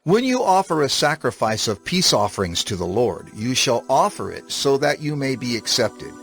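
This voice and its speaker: A man's voice: medium pitch, big pitch swings, normal speed, vocal fry, a bit bright, slightly rough, full character voice. A man acting as a stern divine lawgiver in a dramatized scripture reading, formal and emphatic. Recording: prominent music behind the voice.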